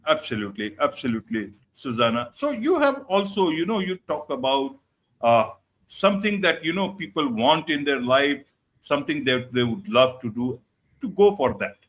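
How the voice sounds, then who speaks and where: A man's voice: medium pitch, sing-song, moderate pace, fairly bright tone. A man hosting an online interview, opening formally and then asking loose questions with frequent "you know". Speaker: show host.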